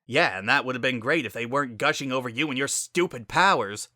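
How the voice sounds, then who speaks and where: A man's voice: mid-range voice, fairly quick, sharp tone, no echo, clean studio sound, voice pushed up. A man voicing an angry, sarcastic female pegasus pony, a bitter older sister, in a dramatic story reading. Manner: biting, sarcastic, confrontational.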